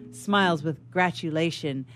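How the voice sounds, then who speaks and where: A woman with a slightly low voice narrates scripted stage directions. Her reading is formal and measured. Music sits behind the narration.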